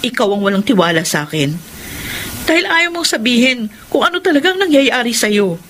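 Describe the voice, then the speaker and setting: An actress playing a worried, hurt young wife in a Filipino radio drama, earnest, persistent and pleading. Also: female voice, fairly high pitch, big pitch swings, brisk, fairly bright tone, slight background noise. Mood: hurt, frustrated. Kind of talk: Filipino radio drama acting and confessional narration. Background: prominent music.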